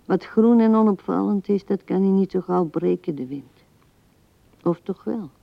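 An actress playing an old widow who muses tenderly and haltingly to her late husband in a radio drama, often dropping to a hush. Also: mid-range voice, gravelly, no room sound. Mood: tender, wistful. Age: older. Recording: dull 1970s radio recording.

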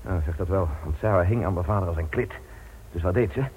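A man acting a wealthy, imperious older village patriarch in a radio drama, guarded, haughty and self-justifying, with a raspy voice. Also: low voice, fast talker, slight background noise, natural voice. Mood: explaining, agitated. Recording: dull lo-fi radio drama recording.

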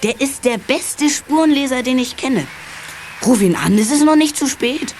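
A woman's voice: high voice, lively intonation, normal speed, faint music behind. A woman playing a casual, reassuring schoolboy brother and young detective in a children's radio play.

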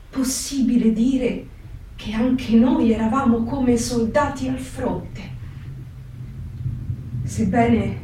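An actress playing a reflective young wartime diarist in a solemn, measured theatrical reading with dramatic pauses. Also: female voice, on the high side, mellow. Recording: very echoey hall, distant microphone.

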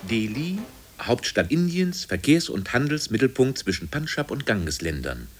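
A man's voice: mid-range voice, big pitch swings, normal speed. A man reciting facts as an announcer in a 1950s radio play, clipped, official and list-like. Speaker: encyclopedia-style announcer. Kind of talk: 1950s scripted factual recitation.

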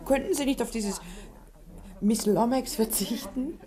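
A woman's voice: fairly high pitch, unhurried, mellow, lightly acted. An actress playing a polite, anxious young woman in a German radio play, speaking in a tentative, questioning way. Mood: polite, recovering.

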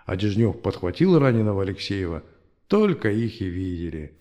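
An actor playing a grandfather who tells history in a folksy, animated, gently teasing way in an audio play. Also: low-pitched, moderate pace. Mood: animated storytelling. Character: old grandfather storyteller teaching his grandchild, lively and gently chiding. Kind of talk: audio play storytelling narration.

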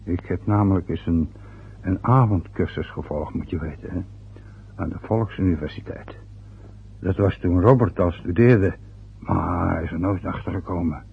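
An actor playing a fretful, rambling father turning sixty in an old radio play, with a husky voice. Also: low-pitched, moderate pace, exaggerated voice. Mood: musing. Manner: rambling, loses his thread. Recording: phone-like lo-fi old radio recording.

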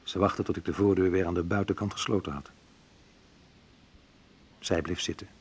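Husky male voice, lowish pitch, wry first-person radio play narrator, confiding, reflective, hesitant pauses. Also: fairly even intonation. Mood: quiet, sad. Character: Menno, wry, reflective man recalling his youth.

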